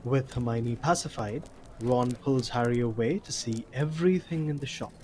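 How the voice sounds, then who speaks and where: Male narrator, medium pitch, scripted storytelling delivery, faint noise behind. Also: moderate pace, dark tone.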